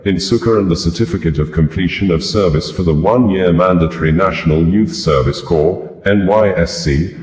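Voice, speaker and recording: A man with a very low voice reads scripted news. His delivery is formal and deliberate, carried through long sentences. The sound is roomy, with clear echo around the voice. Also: a little mellow. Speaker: news reader.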